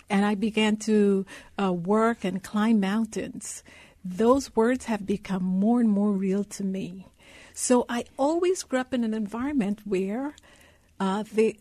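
Middle-aged female interview guest from Guyana, soft warm tone, dry close sound, deliberate storyteller. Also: fairly high pitch, dry recording. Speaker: interview guest, consulting executive.

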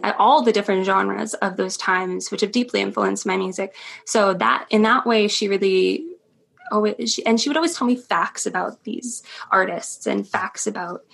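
Young woman, gravelly fry, chatty interviewee with easy laughs. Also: mid-range voice, fairly bright tone. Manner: chatty, laughs often, many ums. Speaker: interview guest, stage performer. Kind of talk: casual interview chat.

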